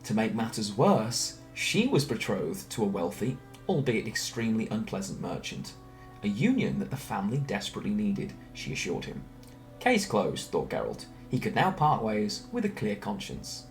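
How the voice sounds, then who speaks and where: Animated male storyteller over music. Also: lowish voice, normal speed, rough recording. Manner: theatrical, expressive delivery. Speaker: storyteller reading fan narration. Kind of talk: dramatic scripted storytelling. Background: background music.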